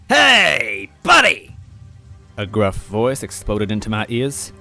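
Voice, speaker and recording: A man reading a first-person story aloud in expressive, scripted narration. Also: on the low side. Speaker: story reader.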